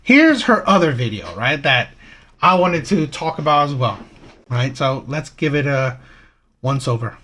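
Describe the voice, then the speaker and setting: A man's voice: medium pitch, expressive, unhurried, bright, forceful tone, slightly rough. A man hosting a reaction channel, giving opinionated commentary with rhetorical questions and frequent "right?" checks.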